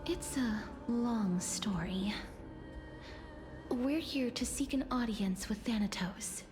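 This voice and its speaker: A woman playing a gentle, composed young heroine in a video game, with a soft, breathy, reassuring delivery. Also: a little high, takes it slowly, over music. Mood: pensive.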